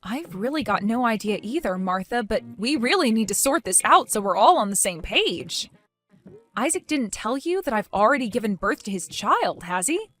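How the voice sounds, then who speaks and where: A woman voicing a young pregnant wife who speaks quietly and hesitantly, pleading to understand. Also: fairly high pitch, very animated, moderate pace, soft background music. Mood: bewildered. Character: soft-spoken young pregnant wife, confused and patient.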